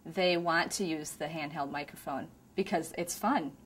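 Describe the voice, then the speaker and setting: A woman's voice: low voice, normal speed, a little hiss or hum. A woman who teaches a class, talking sincerely and plainly in a documentary interview.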